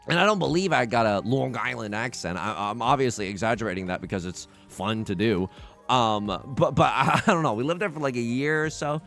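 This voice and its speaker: A man in early adulthood talks through his game with bouncy, playful energy. His pitch swings all over as he jokes and restarts sentences. Soft game music plays underneath. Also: creaky. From China, raised in the US. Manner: excitable, jokey, many quick restarts. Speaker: let's play host. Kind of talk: casual gameplay commentary.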